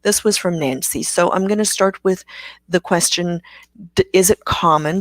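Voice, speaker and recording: Woman, low voice, dry close sound, chatty live Q&A host, self-deprecating. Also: no room sound. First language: Afrikaans. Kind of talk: live-streamed Q&A talk.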